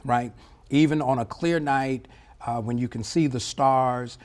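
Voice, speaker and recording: A middle-aged man with a mid-range voice gives expert commentary in a TV interview. He is articulate and measured, leaning on key words for emphasis and checking that the listener follows. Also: medium pitch. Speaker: former air traffic controller, interview guest. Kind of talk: live TV news interview, explanatory commentary.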